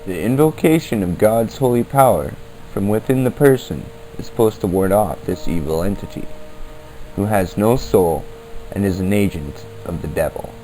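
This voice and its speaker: A man hosting a podcast, reading scripted narration in an earnest, deliberate manner over a basic amateur recording. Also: on the low side, lively intonation, mellow, low-quality recording. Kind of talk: scripted narration on the paranormal in old-time radio format. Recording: basic amateur equipment, music underneath.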